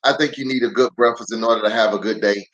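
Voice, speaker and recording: A man speaking as a pastor hosting a children's storytime, unhurried and animated, chatting with viewers and drawing out words for emphasis. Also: lowish voice, lo-fi sound. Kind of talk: livestream greeting and read-aloud storytelling.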